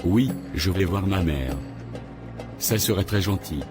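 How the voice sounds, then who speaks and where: Man doing a sure-of-himself church elder, low voice, slow and fatherly, cartoon. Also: over music. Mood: assured. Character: older male church brother, self-assured dream interpreter. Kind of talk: animated cartoon voice acting.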